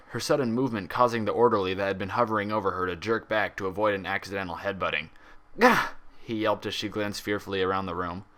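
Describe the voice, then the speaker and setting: A man narrates a story expressively from a script. His voice sits fairly low, with a bright, forceful tone that carries the prose. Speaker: fan fiction reader.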